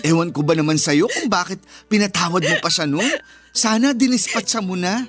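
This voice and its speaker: A woman's voice: on the low side, normal speed, faint music behind, natural voice. A woman with a Filipino accent playing a sassy, blunt, loyal cousin in a radio drama, speaking with slangy emphasis. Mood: indignant, supportive.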